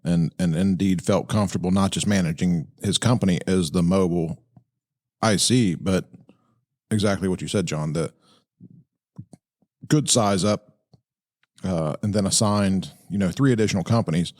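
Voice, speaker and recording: A man giving conversational commentary as a podcast host, explaining things in a halting, stop-and-start way. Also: deep voice, a little mellow. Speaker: podcast host breaking down fire radio traffic.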